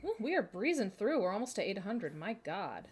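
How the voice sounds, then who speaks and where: A woman's voice: medium pitch, sing-song, fairly quick, breathy edge. A woman in her twenties with a Midwestern American accent, chatting casually and giggling as she streams a game. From Minnesota, USA. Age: 20s. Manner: chatty, giggly, self-deprecating. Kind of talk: casual live-stream chat while playing.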